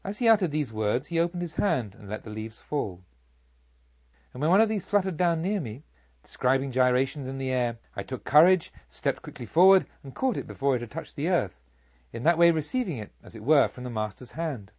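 A man narrating a literary story as an audiobook, in a formal, deliberate storytelling manner. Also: mid-range voice, lively intonation, darkish tone, no room sound, dull audio. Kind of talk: expressive scripted literary narration.

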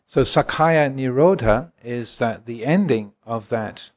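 A man in his sixties from England, a Buddhist monk giving a gentle, reflective dharma talk and answering questions at a retreat. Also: mid-range voice, slow. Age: 60s. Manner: gentle, reflective, chuckles often.

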